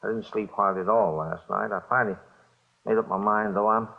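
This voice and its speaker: Nervous gravelly small-time bookie. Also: male voice, on the low side, fairly even intonation. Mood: pleading. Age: middle-aged. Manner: halting, repetitive, nervous hedging. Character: jumpy small-time bookmaker confessing to police, pleading and evasive. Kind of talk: 1950s radio drama acting. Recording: dull old radio recording.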